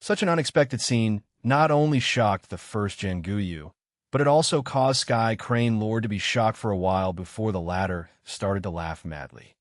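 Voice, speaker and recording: A man narrating a fantasy novel in a steady, dramatic manner. His voice sits low and is recorded dry and close. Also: moderate pace, dry recording. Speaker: audiobook narrator.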